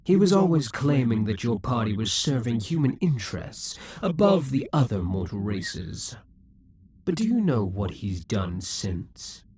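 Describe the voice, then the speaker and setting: Man voicing a demon king, possessive, scheming, breathy, half-whispered, unhurried delivery, lilting pitch. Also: big pitch swings, a little mellow. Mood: gloating, possessive. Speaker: audio roleplay voice actor. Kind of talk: scripted audio roleplay.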